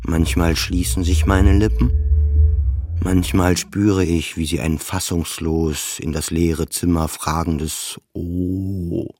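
A man's voice: low voice, fairly even intonation, slow pace, a little mellow. An actor playing a depressed, self-doubting man who narrates his own story in a subdued, confessional radio play style. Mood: exhausted, bleak. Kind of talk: introspective first-person radio play narration.

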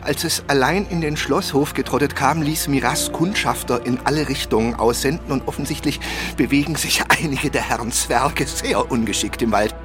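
An actor playing a kindly, learned old half-dwarf tutor who stammers and fusses theatrically in a German children's audio drama. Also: male voice, mid-range voice, moderate pace, over music, close to an everyday voice. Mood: flustered, urgent. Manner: flustered, chuckling, stammering, theatrical.

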